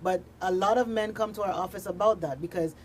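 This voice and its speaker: A woman's voice: medium pitch, fairly quick, a little mellow, a little hiss or hum. A woman speaking as a victim advocate in a radio interview, explaining emphatically in parallel, listed phrases.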